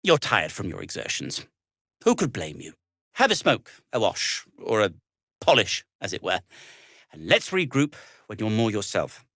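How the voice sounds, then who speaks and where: An actor playing an earnest, fussy, melancholy gnome inventor in a video game, with a hesitant, wordy and old-fashioned polite way of talking. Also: male voice, lowish voice, huge pitch swings, moderate pace, fairly bright tone, no room sound, studio quality, full character voice. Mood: wry, polite. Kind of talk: video game character dialogue.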